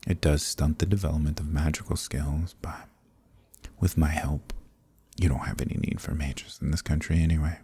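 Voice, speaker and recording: Man acting as an ancient archdemon, extremely low voice, husky and breathy, smug, teasing, dominant, dark fantasy audio roleplay, close dry sound. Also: steady tone, moderate pace, no room sound, heavily acted. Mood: smug, calm. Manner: teasing, condescending, leisurely villainous politeness.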